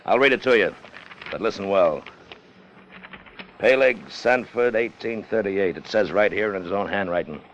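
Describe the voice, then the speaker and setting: Hard low-voiced ship's officer, old radio. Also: male voice, moderate pace, pauses often, fairly bright tone, a little hiss or hum. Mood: menacing, demanding. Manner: clipped, tough, commanding. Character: hard, cunning, distrustful first officer on a whaling ship. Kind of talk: 1950s radio drama acting, first-person narration. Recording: muffled old radio recording with music.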